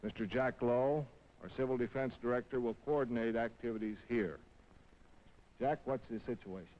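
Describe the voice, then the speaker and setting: Man formally addressing a room of officials. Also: mid-range voice. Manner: formal, deliberate. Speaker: mayor. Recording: dull old film sound in a reverberant room.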